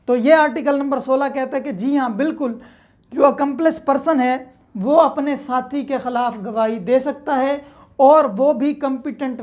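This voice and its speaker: The recording is somewhat dull and lo-fi, carrying a woman's high voice with a Pakistani accent. She speaks as a lecturer, explaining step by step and restating key points. English technical terms are woven into her speech. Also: darkish tone. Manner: methodical, repeats key points, mixes in English legal terms. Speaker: law lecturer. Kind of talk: explanatory lecture with everyday examples.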